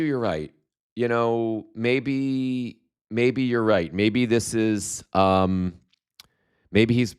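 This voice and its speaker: A man hosting a live stream with a slight cold and a voice going hoarse, chatting in a rambling, halting way full of fillers and restarts while reading viewer comments. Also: on the low side. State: slight cold, losing his voice. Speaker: livestream host.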